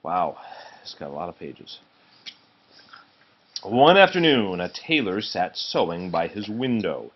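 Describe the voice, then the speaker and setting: A man reading a children's storybook aloud in an expressive way, pausing to speak to the children with him. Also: low voice, dull audio, slight background noise. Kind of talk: read-aloud of a children's storybook, broken by asides to kids.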